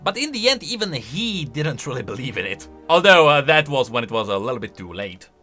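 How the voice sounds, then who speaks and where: A man's voice: medium pitch, big pitch swings, fairly quick, bright, forceful tone, soft background music. A man giving casual, chatty commentary on video game lore, thinking aloud with emphatic delivery.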